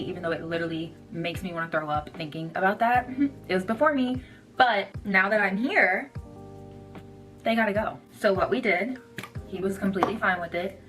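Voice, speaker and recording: A woman's voice: on the low side, fairly bright tone. A young woman giving a casual opinion monologue as a social media creator. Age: young adult.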